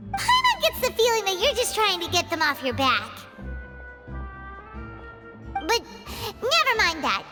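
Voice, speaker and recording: A woman voicing a tiny, childlike fairy companion in a video game, squeaky, excitable and blunt, speaking of herself in the third person. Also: unhurried, pauses often, in a large hall, full character voice. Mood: curious, blunt. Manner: refers to self in third person, exclamatory. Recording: loud music behind.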